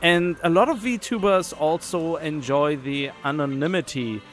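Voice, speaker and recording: A man's voice: on the high side, a little slow, faint music behind. A man streaming a game with casual, rambling commentary, thinking aloud as he chats. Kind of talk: casual chatty livestream commentary.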